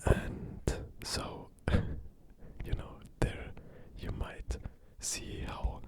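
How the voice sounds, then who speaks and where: A man with a German accent doing a casual whispered ASMR monologue, gently and with pauses. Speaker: ASMR creator.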